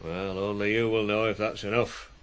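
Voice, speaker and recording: An actor in a 1980s radio drama playing a stern but fair farm boss, plain-spoken, measured and questioning. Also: male voice, on the low side, flat delivery, a bit bright, slight background noise, close to an everyday voice. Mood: matter-of-fact, concerned. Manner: measured, questioning, matter-of-fact.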